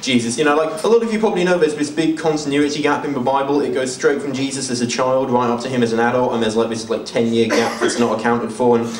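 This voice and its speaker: A man's voice: medium pitch, steady tone, quick pace, hardly any pauses. A man doing conversational stand-up in a rambling, hesitant way. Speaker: stand-up comedian. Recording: echoey venue, distant mic, noisy.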